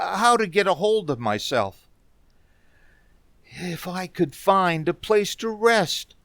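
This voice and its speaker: A woman playing a frightened, haunted man driving alone in a radio play, halting and repeating words under strain. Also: fairly low pitch, dry recording, clean studio sound. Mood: shaken, dread. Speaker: community theater actor.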